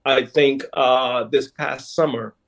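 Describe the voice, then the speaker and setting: Gravelly Bahamian man. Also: medium pitch, fairly even intonation, takes it slowly. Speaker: guest, leader of a Junkanoo group. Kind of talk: conversational interview.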